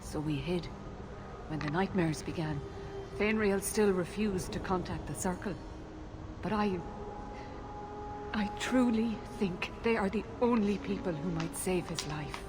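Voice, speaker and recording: A woman's voice: fairly low pitch, unhurried, long pauses, noisy background, close to an everyday voice. An actress voicing an anxious, earnest elven mother in a video game. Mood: anxious, confiding. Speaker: game character voice actor. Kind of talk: dramatic video game dialogue.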